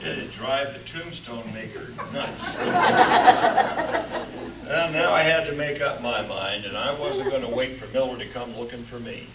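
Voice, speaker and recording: A man playing an earnest, beleaguered Western lawman in 1940s radio comedy style, deliberate and dramatic with exasperated asides. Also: medium pitch, muffled recording, natural voice. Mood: dramatic narration. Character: beleaguered, earnest Western lawman narrating his own predicament. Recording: roomy, distant, noisy live stage recording.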